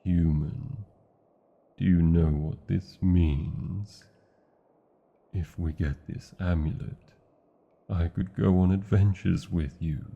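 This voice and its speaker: A British man playing an old, tender male dragon in a fantasy ASMR roleplay, speaking slowly and formally in a husky, gravelly voice. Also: steady tone, narrow, tinny audio, full character voice. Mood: curious, hopeful. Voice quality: husky, gravelly, dark low voice. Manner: slow, deliberate, formal phrasing.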